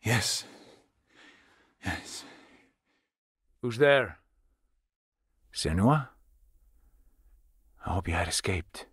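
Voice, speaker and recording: A man with an Icelandic accent playing a grave, weary Norse villager in a video game, with a husky, breathy voice. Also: low voice, fairly bright tone, full character voice. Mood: anxious, relieved. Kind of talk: dramatic video game voice acting.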